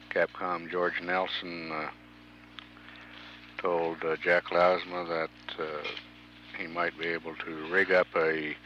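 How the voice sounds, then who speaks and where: A man giving spaceflight mission commentary, halting with frequent hesitations. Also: low-pitched, sharp tone, a little hiss or hum. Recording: dull archival broadcast audio.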